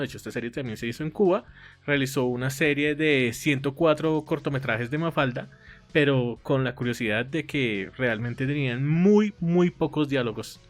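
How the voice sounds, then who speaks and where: A man on a podcast, chatting casually about comics and often pausing with fillers. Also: mid-range voice. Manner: conversational, hesitant with many fillers. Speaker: podcast co-host.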